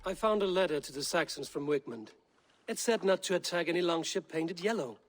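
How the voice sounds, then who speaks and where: An actor playing a male Viking hero who questions people calmly and directly about a betrayal. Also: fairly high pitch, fairly quick, natural voice. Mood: questioning. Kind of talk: game dialogue.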